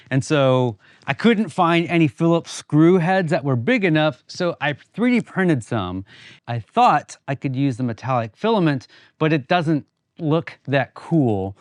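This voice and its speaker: A man narrates a workshop vlog in a fairly high male voice. He speaks informally and plainly, explaining as he goes. The sound is very dry, with hardly any room on it. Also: lively intonation, unhurried, no echo. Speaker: host of a woodworking channel.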